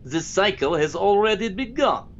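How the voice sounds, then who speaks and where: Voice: mid-range voice, very animated, moderate pace, dull audio, a little hiss or hum, close to an everyday voice. A performer playing a formal, scheming male vampire villain, imperious in manner, in a comedic audio drama. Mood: coldly menacing.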